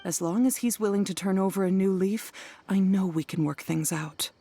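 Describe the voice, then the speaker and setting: A woman's voice: mid-range voice, normal speed, dark tone, slight background noise, natural voice. A woman voicing a troubled, middle-aged wife in a game, speaking wearily and earnestly with frequent sighs. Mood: weary. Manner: weary, sighing, earnest. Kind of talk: game cutscene voice acting.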